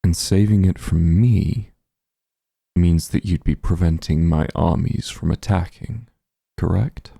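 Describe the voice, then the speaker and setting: A man playing a powerful, calm and smug demon lord in a fantasy ASMR roleplay, unhurried and condescending, with a gravelly, husky low voice. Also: no echo, clean studio sound, full character voice. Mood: coaxing, persuasive. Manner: unhurried, condescending, softly commanding.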